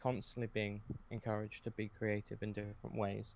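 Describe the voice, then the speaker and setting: A man's voice: on the low side, monotone, brisk, vocal fry, darkish tone, a little hiss or hum. A man chatting casually as a podcast guest, hesitant and often correcting himself as he goes. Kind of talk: casual conversation.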